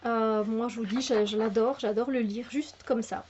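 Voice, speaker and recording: A woman's voice: on the high side, steady tone, moderate pace, a little mellow, a little hiss or hum. A woman reviewing a tarot deck in casual talk, hesitant with many fillers and restarts, and enthusiastic. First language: French. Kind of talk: casual spoken product review. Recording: muffled lo-fi home recording.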